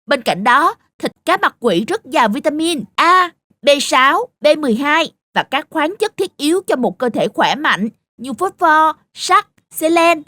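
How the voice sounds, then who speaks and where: A woman's voice: high voice, a little slow, few pauses, no room sound, heavily acted. A woman voicing a knowledgeable, helpful young friend who explains things in a children's cartoon. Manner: instructive, expressive.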